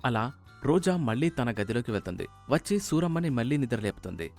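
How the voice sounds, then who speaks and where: A man's voice: medium pitch, sing-song, quick pace, a little mellow, soft background music. A man narrating a scripted moral story as its storyteller.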